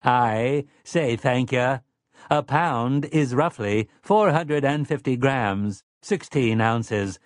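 A man's voice: medium pitch, slow, dry recording, clean studio sound, pitched above the performer's own voice, natural voice. A man voicing a smug, polite male robot with stiff, formal, old-fashioned phrasing in an audiobook. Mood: smug, eager. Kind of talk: audiobook character voice.

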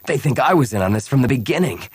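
A man's voice: medium pitch, expressive, fast, husky, no echo, voice pushed up. A man voicing a roguish, blunt smuggler in an audiobook, with an emphatic, questioning manner. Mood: dismayed. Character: roguish male smuggler, blunt and easily exasperated.

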